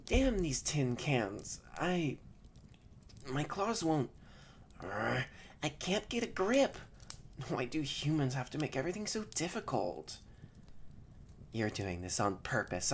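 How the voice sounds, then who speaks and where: A young adult performer voicing a haughty, grumpy yet secretly shy catboy in an intimate roleplay audio drama, stammering and often slipping into breathy near-whispers. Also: mid-range voice, sing-song, slow, pauses often, a little hiss or hum. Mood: frustrated.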